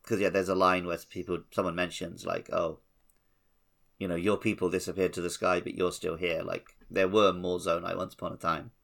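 A man's voice: low-pitched, level tone, fairly quick, gravelly, a bit bright. A man co-hosting a podcast, chatting casually and informally about a video game. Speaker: podcast co-host.

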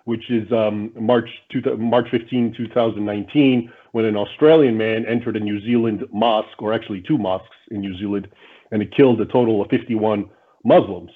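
A man with a low voice gives measured, explanatory answers as an interview guest. He comes through a tinny, phone-like remote line. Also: fairly even intonation. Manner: measured, explanatory, with frequent fillers. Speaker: guest author.

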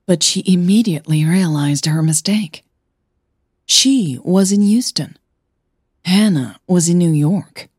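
A woman narrating scripted fiction as a podcast host, in a measured, deliberate way. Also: on the low side, darkish tone, husky, no echo, studio quality.

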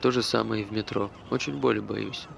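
A man's voice: lowish voice, fairly even intonation, fairly quick, creaky, fairly bright tone. An actor playing an anxious, self-deprecating man, talking hesitantly and earnestly in naturalistic stage dialogue. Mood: nervous, confessional. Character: anxious, self-deprecating man recounting his failed suicide. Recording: faint background noise.

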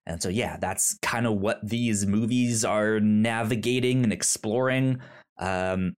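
A man hosting a casual podcast chat, talking in a halting way with many fillers and stammered restarts. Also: fairly low pitch. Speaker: podcast host.

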